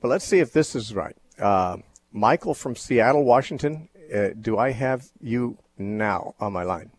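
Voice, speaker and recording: A man hosting a radio call-in show, teaching on the Bible in a measured, thoughtful way with frequent fillers and restarts. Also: medium pitch, expressive.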